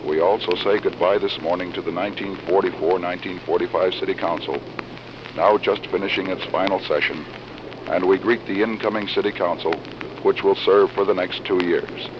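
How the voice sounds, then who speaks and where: A man reporting live on 1940s radio in a formal, measured announcing manner, with a cold. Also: low voice, steady tone. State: has a cold. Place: council chamber. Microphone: broadcast microphone. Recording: noisy, muffled 1940s radio broadcast recording.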